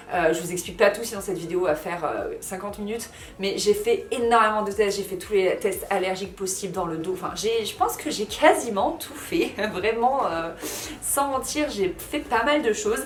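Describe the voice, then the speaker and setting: A woman in her thirties talks casually to the camera, her everyday voice sitting at an ordinary pitch. She rambles in a chatty way, full of fillers and quick self-corrections. The room around her rings with a roomy echo. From France. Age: 30s. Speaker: lifestyle vlogger. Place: house under renovation, indoors.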